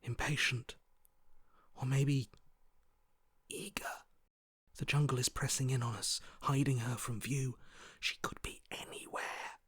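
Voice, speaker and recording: A man voicing a wary, skittish prey creature for a video game, hushed and breathy, often close to whispering, with a cautious, watchful delivery. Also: mid-range voice, fairly even intonation, unhurried, pauses often, no echo. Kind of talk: video game character acting.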